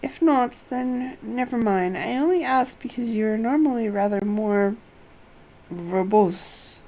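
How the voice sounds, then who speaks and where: A woman's voice: fairly high pitch, unhurried, warm tone. A woman voicing a self-assured young noblewoman from a fantasy game, probing and formal in archaic phrases. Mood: probing, assertive.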